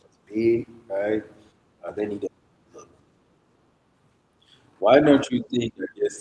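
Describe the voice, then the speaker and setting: A man's voice: fairly low pitch, warm tone. A man on a discussion panel, talking conversationally and often checking in with a short tag question. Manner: repeatedly checks in with "right?". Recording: echoey room, faint background noise.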